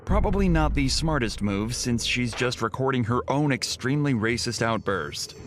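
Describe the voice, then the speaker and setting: A man's voice: medium pitch, moderate pace, over music. A man giving scripted, matter-of-fact narration over true crime clips. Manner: even, matter-of-fact delivery.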